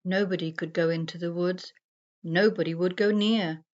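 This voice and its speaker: A woman narrating a rhyming children's story in a deliberate, sing-song storybook manner. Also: on the low side, fairly even intonation, a little mellow. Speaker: story reader. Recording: dull, muffled lo-fi sound.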